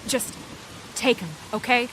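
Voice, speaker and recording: A woman's voice: fairly high pitch, unhurried, a bit bright, a little hiss or hum. A woman voicing a frightened, pleading young woman in a video game, urgent in manner. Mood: desperate pleading.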